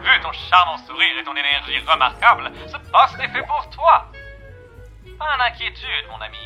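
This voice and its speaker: Cartoon demon dandy: high, very animated, mocking politeness and showman's flourish. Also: normal speed, faint music behind, full character voice. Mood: smug cheer. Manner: theatrical, mannered, falsely courteous. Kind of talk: amateur French animation fan dub.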